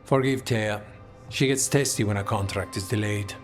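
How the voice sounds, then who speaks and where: A man voicing a composed, calculating assassin leader in a video game, with a measured, formal delivery. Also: lowish voice, faint music behind, natural voice. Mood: dry, composed.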